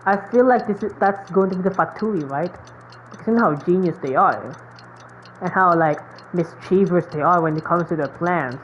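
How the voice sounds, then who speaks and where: A woman's voice: lowish voice, moderate pace. A woman giving casual live gameplay commentary as a streamer, musing in a rambling way with lots of fillers. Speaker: game streamer. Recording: dull mic, faint background noise.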